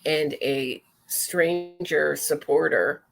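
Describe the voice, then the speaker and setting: A woman's voice: low voice, drawn-out words, vocal fry, soft background music, rough recording. A woman hosting a true crime talk show, commenting casually and reading messages aloud, often digressing and correcting herself as she goes. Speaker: podcast host.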